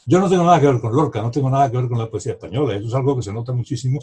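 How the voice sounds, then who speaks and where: A man's voice: medium pitch, rapid-fire, mellow. A man in his seventies, from Morocco, who speaks as a novelist guest at a book club over a video call. He talks in long, rambling turns, restarting sentences and trailing into asides. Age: 70s. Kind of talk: casual reflective conversation.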